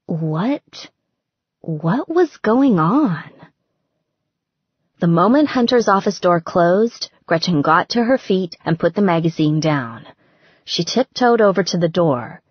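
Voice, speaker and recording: A woman narrates fiction in a lowish, husky voice with lively swings in pitch. Her delivery is scripted storytelling. The recording is close and dry, a little muffled on top. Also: takes it slowly, dry recording. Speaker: audiobook narrator.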